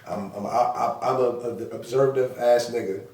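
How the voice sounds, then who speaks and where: A hoarse man chatting on a podcast as co-host, rambling, repeating himself and cursing freely. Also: medium pitch, steady tone, moderate pace, darkish tone, reverberant, distant mic. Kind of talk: casual podcast chat.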